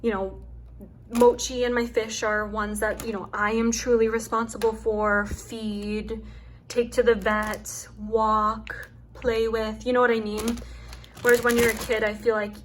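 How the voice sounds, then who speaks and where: A young woman with a soft, calming voice chatting casually and rambling with lots of fillers as she hosts a craft video at home. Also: fairly high pitch, a little slow, slight background noise. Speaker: craft channel host. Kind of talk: casual chat while chopping wax. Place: home with her dog nearby.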